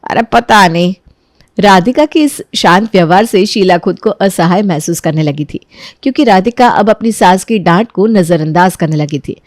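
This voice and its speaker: A woman's voice: lowish voice, lively intonation, moderate pace, darkish tone, no echo, studio quality. A woman narrating a scripted story as a storyteller.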